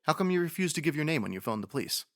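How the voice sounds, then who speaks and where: Woman as a very deep, gravelly police sergeant. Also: big pitch swings. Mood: questioning, firm. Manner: matter-of-fact, brisk questioning. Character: businesslike, gruff police sergeant in a radio mystery. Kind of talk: radio detective drama acting.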